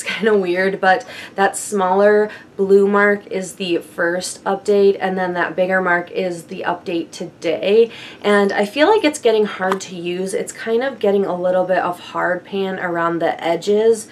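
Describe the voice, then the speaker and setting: A young woman with a froggy, hoarse voice from a chest cold, chatting casually through a beauty product update with light laughs and fillers. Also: mid-range voice, slight background noise. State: hoarse from bronchitis. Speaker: beauty vlogger.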